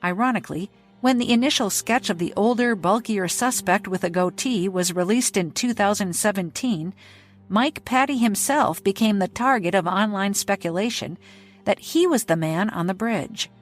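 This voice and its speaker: Woman, podcast host, measured scripted narration, mid pitch, soft music underneath. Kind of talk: scripted true-crime narration.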